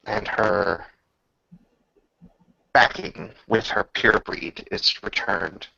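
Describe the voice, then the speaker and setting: Gravelly man narrating grandly in a roomy space. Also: fairly low pitch, sharp tone. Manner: measured, grandiose, with drawn-out pauses. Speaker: game master. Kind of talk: dramatic storytelling narration for a tabletop roleplaying game. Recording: slightly dull, roomy home recording.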